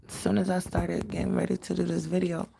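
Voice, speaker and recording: A woman with a raspy, cracking voice, working as a spiritual medium and leading an earnest prayer on a livestream. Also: lowish voice, level tone, brisk, mellow, slight background noise, low-quality recording. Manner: earnest, prayerful, repeats invocations.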